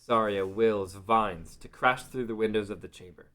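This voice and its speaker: Low-pitched male story narrator. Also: steady tone, normal speed, bright, forceful tone. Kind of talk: scripted story narration at a table read.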